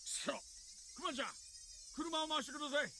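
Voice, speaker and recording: A man's voice: very high voice, racing, background noise. A man playing a middle-aged police detective who presses and coaxes urgently over the phone, in game voice acting.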